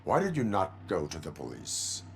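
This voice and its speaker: A man playing a stoic cyborg police officer in a video game, speaking in a clipped, formal, deadpan way. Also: deep voice, normal speed, gravelly, a little hiss or hum, heavily acted. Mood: stern, impassive. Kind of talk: video game cutscene acting.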